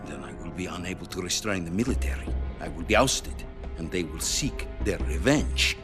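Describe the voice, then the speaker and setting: A low-voiced, guarded Soviet premier in a cinematic game. He speaks formally and with measured diplomacy, every phrase a veiled ultimatum, over prominent music. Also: expressive. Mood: grave ultimatum. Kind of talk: cinematic video game acting. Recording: loud music underneath.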